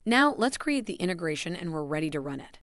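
A woman giving a scripted, even voice-over that walks through software steps. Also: lowish voice, quick pace, no room sound, studio quality. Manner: even, instructional delivery. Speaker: software tutorial narrator.

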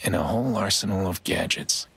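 An actor playing a weary, guarded middle-aged vigilante in a video game, speaking in a terse, understated way. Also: male voice, low-pitched, brisk, fairly bright tone, breathy edge, full character voice. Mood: businesslike. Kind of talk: cinematic game dialogue.

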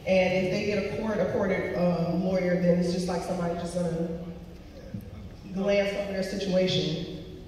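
A woman's voice: lowish voice, little pitch movement, normal speed, a little mellow. A woman moderating a panel on stage in a conversational way. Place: on stage in a large auditorium. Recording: distant, echoey theater hall, faint noise.

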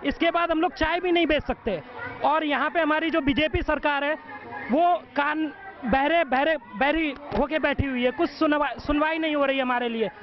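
A man's voice: extremely high pitch, moderate pace. A young man, a protesting exam candidate, speaking fervently and insistently into a handheld mic outdoors among a crowd. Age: young adult. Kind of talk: impassioned interview statement. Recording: dull sound, crowd behind.

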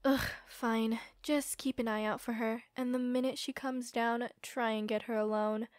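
Casting: scheming young villainess acted by a woman, bossy, fairly high voice, dry close sound. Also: steady tone, moderate pace, no echo, natural voice. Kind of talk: amateur animated roleplay drama acting.

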